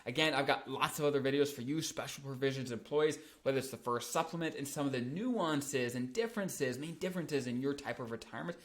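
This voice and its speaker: A man's voice: mid-range voice, brisk, few pauses. Upbeat man explaining retirement rules. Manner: upbeat, energetic, with frequent restarts and emphatic peaks. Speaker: financial advisor hosting a video channel. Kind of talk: casual explainer video.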